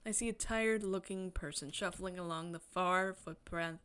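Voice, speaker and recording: A woman game streamer expressively reading a visual novel aloud, breaking into excited, chatty asides. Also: on the low side, normal speed, smooth. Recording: music behind the voice. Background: game music.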